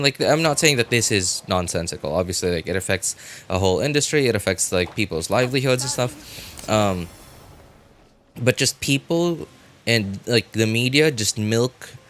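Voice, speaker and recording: A man's voice: fairly low pitch, fairly bright tone. A man chatting casually as a game streamer, thinking aloud in a rambling, repetitive way. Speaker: game livestreamer. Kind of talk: casual livestream chat.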